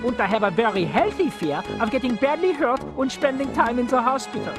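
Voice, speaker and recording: A woman voicing a nervous, excitable cartoon bird inventor for a video game, fretful and boastful. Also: fairly high pitch, a little fast, over music, lightly acted.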